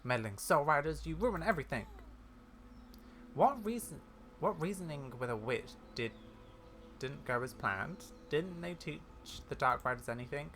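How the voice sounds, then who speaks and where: A gamer voicing a haughty, mocking villainess, a dark rider, from a fantasy game's dialogue. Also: mid-range voice, expressive, moderate pace, slight background noise, close to an everyday voice. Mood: taunting. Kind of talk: reading fantasy game dialogue aloud.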